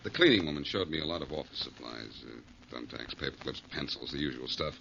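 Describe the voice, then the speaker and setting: An actor playing a breezy, confident, needling detective in a 1940s radio drama. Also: male voice, rumbling low, animated, fast, gravelly, heavily acted. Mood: wry, probing. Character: wry, confident amateur detective, adult man. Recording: dull, noisy 1940s radio recording.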